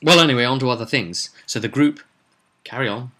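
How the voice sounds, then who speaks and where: A man's voice: medium pitch, a little fast, bright, forceful tone. A man telling the story of a tabletop roleplay campaign in a casual, rambling, unscripted way, leaning hard on certain words.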